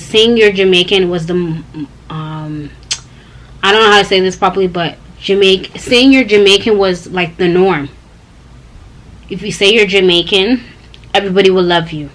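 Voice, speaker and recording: A woman's voice: on the low side, moderate pace, poor audio. A young woman, talking straight to her viewers in a rambling, chatty personal vlog. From Canada. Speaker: vlogger. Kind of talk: casual personal vlog talk.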